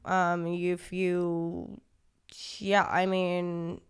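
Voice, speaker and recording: Clear-voiced young woman, breezy and candid. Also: fairly low pitch, monotone, extremely slow. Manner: casual, many fillers, laughs things off. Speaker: podcast guest. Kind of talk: candid conversational interview.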